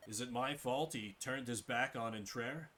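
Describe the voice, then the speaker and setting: A man's voice: mid-range voice, moderate pace, bright, forceful tone, natural voice. A man voicing an earnest, troubled duke in a fantasy game. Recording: game music behind the voice.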